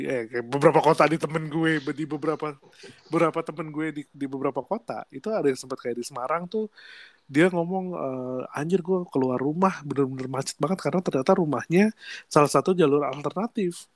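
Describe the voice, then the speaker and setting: A man's voice: fairly high pitch, a little fast, no echo. Young Jakarta man, slightly nasal, dry sound. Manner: relaxed slangy Jakarta chatter with frequent fillers. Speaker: podcast co-host. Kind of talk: casual chat.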